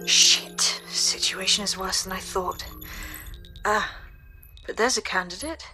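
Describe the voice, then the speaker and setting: A woman playing a businesslike resistance leader in a game. Her mid-pitched voice is terse and purposeful, with clear music behind it. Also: slow, lightly acted. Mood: grimly determined. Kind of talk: video game voice acting.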